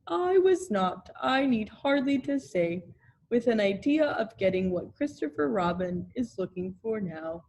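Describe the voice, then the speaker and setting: A gloomy old male donkey from a children's storybook, acted out by a woman reader. He speaks very slowly and ponderously, with a self-important, put-upon air. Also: mid-range voice, sing-song, darkish tone, low-quality recording. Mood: pompous, self-important. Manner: slow, ponderous speechmaking. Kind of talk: children's storybook read-aloud.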